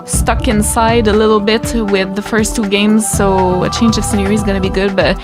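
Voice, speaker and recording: A young woman with a Quebec French accent, chatty and excited, introducing her pre-recorded let's-play of a video game. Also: mid-range voice, normal speed. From Quebec, Canada. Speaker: gaming YouTuber. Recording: game music playing behind.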